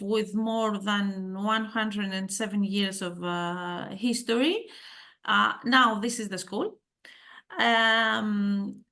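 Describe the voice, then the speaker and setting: Slow, hesitant Greek-accented woman. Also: a little high, bright, forceful tone. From Greece. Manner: hesitant, many fillers, asks the audience questions. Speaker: school head of digital education giving a talk. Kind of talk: conference presentation to an audience.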